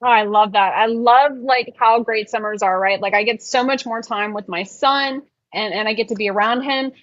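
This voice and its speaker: A woman's voice: a little high, moderate pace, no echo, lo-fi sound. A woman with a Southern US accent hosting a casual chat over a video call, chatty and full of y'alls. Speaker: podcast host.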